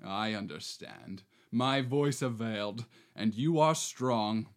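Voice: mid-range voice, slow pace, natural voice. A performer playing a swaggering male bandit who poses as a romantic marquis, delivering verse theatrically. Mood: mocking. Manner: bombastic, theatrical verse delivery with wild swings. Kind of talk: amateur reading of a verse play.

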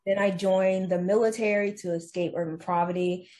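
A woman's voice: mid-range voice, fairly even intonation, dark tone. A young woman talking bluntly and profanely as a guest on a casual podcast. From United States. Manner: blunt, profane, fast-changing opinions.

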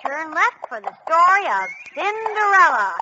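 A woman's voice: extremely high pitch, sing-song, unhurried, exaggerated voice. A woman playing a perky, cheerful young guide on an old children's radio show. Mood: chirpy, eager. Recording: dull, very noisy old radio recording.

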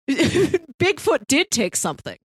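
A woman's voice: sits high, huge pitch swings, slow, dry recording. A woman co-hosting a podcast, chatting casually about films with playful, fannish enthusiasm. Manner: enthusiastic, playful fan talk. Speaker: podcast co-host. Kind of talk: casual film discussion chat.

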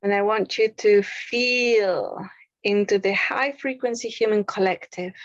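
A woman leading a guided meditation, speaking softly with long pauses between short phrases. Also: mid-range voice, no room sound, dull audio. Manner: slow, soft, with long pauses.

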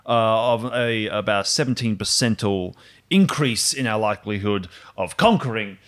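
A man voicing a cold, calculating computer intelligence in a tabletop roleplay, speaking in halting, analytical phrases. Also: lowish voice, fairly bright tone, close to an everyday voice.

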